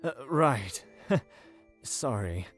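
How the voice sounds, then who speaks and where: A man's voice: medium pitch, very animated, drawn-out words, soft background music. A man playing an eager but awkward young merman prince, speaking hesitantly in an audio drama.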